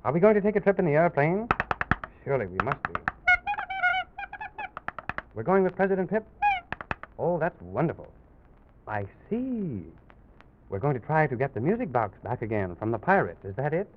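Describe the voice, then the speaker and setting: A man's voice: on the high side, very animated, moderate pace, long pauses. A man hosting an old-time children's radio story, talking in simple phrases aimed at young children. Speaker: children's show host and storyteller. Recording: noisy, muffled old radio recording with clicks.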